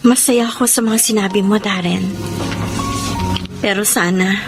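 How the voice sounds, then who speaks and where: An actress playing a wounded, devoted young wife and daughter in a Filipino radio drama, speaking with deliberate emotion. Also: female voice, medium pitch, fairly even intonation, music in the background, lightly acted. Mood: guarded, sincere.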